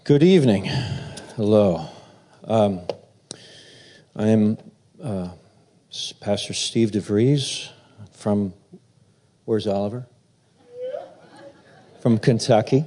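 A man preaching as pastor, halting with many fillers as he talks, then reading scripture aloud. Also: lowish voice, mellow, a little hiss or hum.

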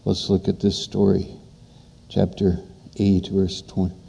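Male pastor, dark mellow tone, unhurried emphatic sermon delivery. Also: sits low, monotone, gravelly, husky. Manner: repetitive, emphatic preaching with pauses.

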